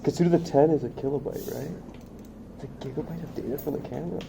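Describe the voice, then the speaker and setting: A man's voice: on the high side, fast talker, noisy background. A young man casually thinking aloud, puzzling through technical details and correcting himself as he goes. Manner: puzzled, self-correcting reasoning. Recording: clear crowd murmur behind the voice.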